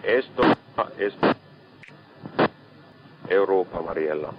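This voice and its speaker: A man working as a ship's radio operator, making calls on a lo-fi marine radio recording. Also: deep voice. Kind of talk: maritime radio traffic.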